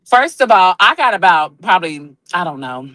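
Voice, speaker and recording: A woman's voice: fairly low pitch, sing-song, normal speed, pushed and bright, dry recording, rough recording. Sassy woman calling in from a truck stop. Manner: sassy, calls people girl and honey. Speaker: guest on a livestream. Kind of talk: casual chat. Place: at a truck stop, on the road for work.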